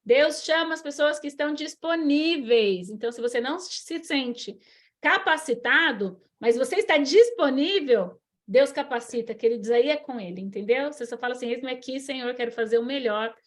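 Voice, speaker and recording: High-voiced Brazilian woman hosting. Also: lively intonation, normal speed. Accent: Brazilian Portuguese. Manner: warm encouraging preacherly, addresses listeners as queridos. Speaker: host. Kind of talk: online church health class talk.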